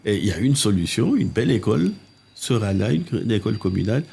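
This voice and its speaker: Older guy, mayor, husky lowish voice, tells stories in bursts w/ restarts. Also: fast. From Congo. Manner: animated anecdotes with restarts and asides. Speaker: mayor, political guest. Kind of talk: casual interview storytelling. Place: living-room podcast set.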